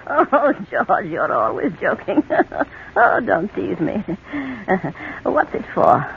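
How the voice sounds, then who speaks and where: A man's voice: sits high, sing-song, slow, slight background noise, heavily acted. A man voicing a timid elderly widow who giggles girlishly and chatters nervously, on an old radio recording. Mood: flattered. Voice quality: creaky, fluttery old woman's voice. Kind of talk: radio comedy acting. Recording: dull lo-fi vintage radio recording.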